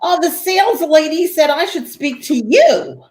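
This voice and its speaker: A woman's voice: high voice, sing-song, measured pace, darkish tone. A woman playing a brash, plain-spoken mother with blunt, slangy talk in a 1940s-style radio play. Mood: impressed. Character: brash, plain-spoken, exasperated working-class city mother.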